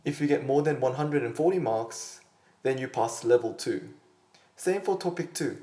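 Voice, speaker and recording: Man, teacher, steady explanatory delivery, roomy echo. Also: mid-range voice, moderate pace. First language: Korean. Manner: steady, explanatory, addresses viewers directly. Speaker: Korean language teacher. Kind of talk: scripted online lesson.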